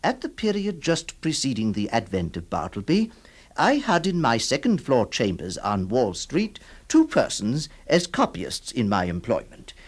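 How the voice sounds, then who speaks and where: A man's voice: mid-range voice, huge pitch swings, unhurried. A man playing a flustered middle-aged lawyer who narrates and stammers, in an old radio drama. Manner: hesitant, stammering, fussy. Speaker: narrator and lead actor.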